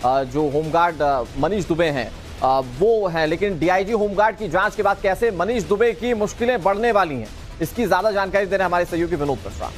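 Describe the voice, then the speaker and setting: Male TV news anchor, high voice, music bed underneath. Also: expressive, fairly quick. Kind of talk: live TV news presenting. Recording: music behind the voice.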